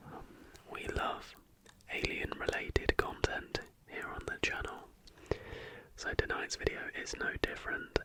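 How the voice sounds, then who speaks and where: An ASMR host speaks entirely in a soft whisper. The delivery is slow and unhurried, reading out material as a presenter would for relaxed listening. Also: extremely high pitch. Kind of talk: ASMR, softly whispered reading of facts with casual asides.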